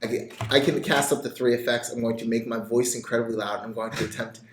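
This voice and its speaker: A man taking his turn as a player in a tabletop role-playing game, animated and laughing often. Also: mid-range voice, fast talker, a little hiss or hum. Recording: echoey room.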